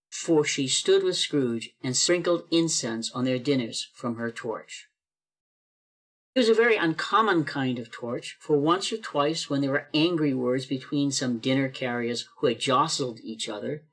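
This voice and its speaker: A woman narrating a radio play over a video call, telling the story in a measured, expressive way. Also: low voice.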